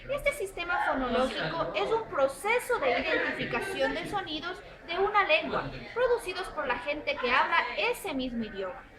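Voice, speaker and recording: A woman presents a class lesson in a high, sing-song voice that rises and falls a great deal. She reads in lists, leaning on each item, with crowd chatter clearly heard behind her. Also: big pitch swings, normal speed, few pauses. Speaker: student presenter.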